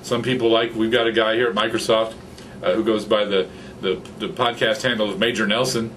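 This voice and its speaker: Lively middle-aged man on a studio mic. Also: fairly low pitch, brisk, a little hiss or hum, low-quality recording. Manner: animated, gestures a lot, gets excited. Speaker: podcaster and guest. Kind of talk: casual interview chat. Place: small office with computers. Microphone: studio condenser mic on stand in front.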